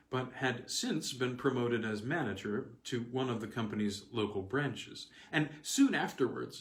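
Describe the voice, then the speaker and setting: A man reading a weird tale aloud as scripted narration, with measured, dramatic phrasing and deliberate pauses. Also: mid-range voice. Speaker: audiobook reader. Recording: roomy, echoing sound.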